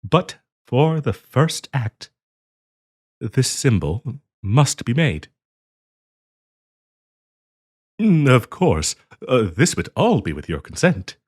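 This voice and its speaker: A man's voice: medium pitch, big pitch swings, husky, heavily acted. A man voicing a courteous, earnest half-dragon diplomat in a roleplay audio, speaking politely and hesitantly to the listener. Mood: gentle, reassuring. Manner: hesitant, polite, halting with frequent ums and soft chuckles.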